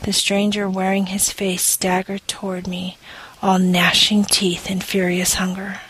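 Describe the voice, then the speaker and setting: Measured woman reading scripted audio fiction. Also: medium pitch, completely flat delivery, fairly bright tone, husky, rough recording. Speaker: story reader.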